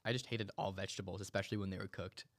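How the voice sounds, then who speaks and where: A man's voice: low voice, steady tone, rapid-fire. A young man hosting a casual comedy chat, laughing often and rambling with many fillers. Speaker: host.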